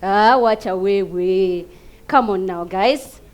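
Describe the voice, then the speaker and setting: A Ugandan woman performing a theatrical comic monologue, emphatic and dramatic, swinging between hushed and loud delivery. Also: medium pitch, takes it slowly. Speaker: competition contestant performing a monologue. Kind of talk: theatrical comedic stage monologue.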